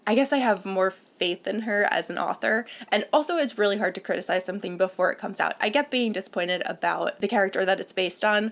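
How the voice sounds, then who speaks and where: A young woman hosting a casual book news vlog, slightly sick and congested, talking in a chatty way with lots of "like" fillers. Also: medium pitch. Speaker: book channel host.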